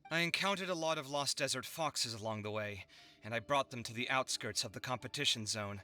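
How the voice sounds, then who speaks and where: A male actor playing an earnest, easily flustered young genius in an anime video game, expressive and a little dramatic. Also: mid-range voice, a little fast. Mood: weary.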